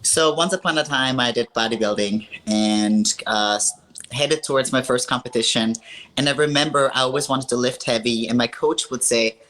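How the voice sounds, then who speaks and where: Austrian-born woman, 30s, strength athlete guest; bright tone, sincere, cheerful storytelling. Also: very deep voice, moderate pace, poor audio. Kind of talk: casual interview on a video call.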